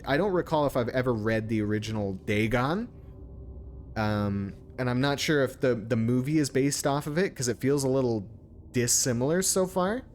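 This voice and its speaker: A man's voice: mid-range voice, a little hiss or hum. A man streaming a game, commenting casually and reading text aloud in an informal, sometimes stumbling way.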